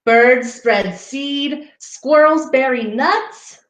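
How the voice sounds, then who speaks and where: A woman's voice: high voice, extremely slow, fairly bright tone. A woman reading a children's picture book aloud, expressively, with chatty asides to young listeners. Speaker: storybook reader for a parks program. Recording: roomy, echoing sound.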